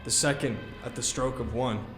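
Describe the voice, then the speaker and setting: A man's voice: medium pitch, fairly even intonation, moderate pace, close to an everyday voice. An actor playing the tormented ghost of a dead businessman in a radio play, speaking in a solemn, declamatory, anguished manner. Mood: grave, foreboding. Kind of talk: audio theater acting. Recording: cavernous long reverb over loud music.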